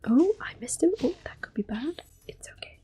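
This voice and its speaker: A woman hosting a relaxing game playthrough, talking mostly in a gentle, unhurried whisper with small exclamations here and there. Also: high-pitched, expressive. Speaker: gaming ASMR host. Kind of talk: soft whispered game commentary.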